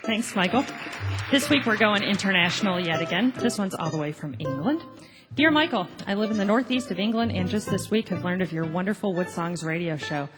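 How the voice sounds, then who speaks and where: A woman's voice: medium pitch, animated, fairly quick. A woman doing scripted radio announcements and reading, as a regular presenter of the show. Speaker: announcer and listener-mail reader. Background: music.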